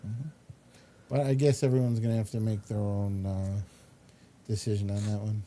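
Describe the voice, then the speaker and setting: A man's voice: fairly low pitch, level tone, moderate pace, mellow. A man hosting a casual talk show, chatting about entertainment in a hesitant, rambling way. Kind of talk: casual chat, reviewing entertainment.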